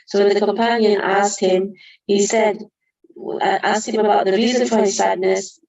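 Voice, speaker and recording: A woman's voice: mid-range voice, steady tone, normal speed, a little mellow, dull audio. A woman giving an earnest Qur'an study lesson, explaining verses carefully with reverent honorifics. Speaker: religious teacher.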